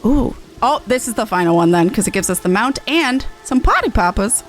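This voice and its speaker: A woman's voice: on the high side, expressive, a little fast, faint music behind, rough recording. A woman chatting casually as she streams a video game. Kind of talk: casual live gameplay chat.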